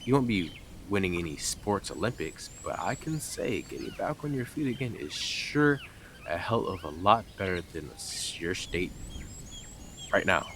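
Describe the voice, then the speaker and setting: A man's voice: low voice, very animated, normal speed, fairly bright tone, slight background noise, full character voice. A man playing a blunt, sarcastic, teasing stalker who is devoted to the listener in an intimate roleplay audio drama. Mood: matter-of-fact, coaxing.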